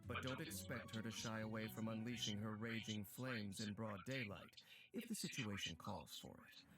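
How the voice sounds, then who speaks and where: A man narrating a video game character showcase from a script in a polished, formal, measured way. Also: fairly low pitch, a bit bright, faint music behind.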